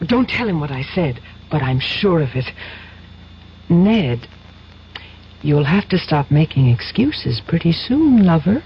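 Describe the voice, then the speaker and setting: An actress playing an adoring, eager young fiancée in a radio drama, gushing and coaxing. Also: female voice, low voice, sing-song, takes it slowly, pauses often, heavily acted. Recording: muffled, hissy old radio broadcast.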